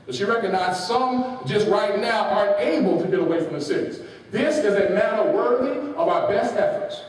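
Lively man preaching in an echoey hall. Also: high voice, moderate pace, distant mic. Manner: rhetorical questions, reads quotations with page references. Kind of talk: sermon with call and response. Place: large church hall.

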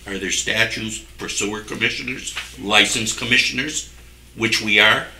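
Forceful older man in an echoey room. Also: fairly low pitch, steady tone, normal speed, a little hiss or hum. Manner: defensive, insistent. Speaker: town select board member. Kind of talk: argumentative speech at a public meeting. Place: wood-panelled municipal meeting room. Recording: echoey meeting room, distant microphone.

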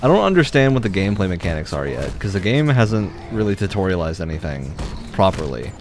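Low-pitched man grumbling over a game. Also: a little fast. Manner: critical, complaining. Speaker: game streamer. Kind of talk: casual gameplay commentary. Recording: steady background game noise. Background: video game sounds.

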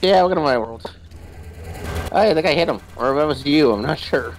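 A man's voice: on the high side, animated, fairly quick. A man chatting casually and jokingly with a friend while playing a co-op video game. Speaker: gamer playing co-op. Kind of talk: casual gaming chat. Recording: background game noise, ambience.

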